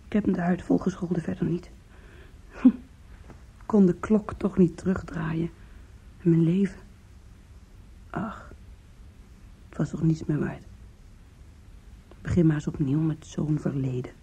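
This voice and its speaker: An actress in a Dutch radio play playing a weary, anguished young woman with a shamed past, confessional, halting and pleading. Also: fairly low pitch, steady tone, dark tone, breathy edge, exaggerated voice. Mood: resigned, bitter. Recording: muffled, lo-fi old radio recording.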